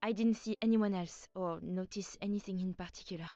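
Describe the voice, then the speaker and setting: A woman's voice: medium pitch, fairly even intonation, fairly quick, mellow, no echo, highs cut off, lightly acted. A woman with a French accent playing an earnest, polite nanny in a video game. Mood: polite, subdued. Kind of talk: scripted video game acting.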